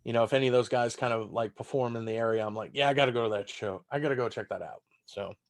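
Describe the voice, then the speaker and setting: A man's voice: medium pitch, quick pace. A man in his thirties from Texas, whose first language is German, talking in a rambling, casual way as a comedian guest on a podcast, swearing freely.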